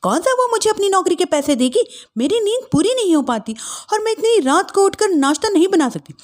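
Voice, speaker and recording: A woman's voice: very high-pitched, very animated, fairly quick, voice pushed up. A woman voicing an overworked, resentful elder sister-in-law who complains in a whining, aggrieved way.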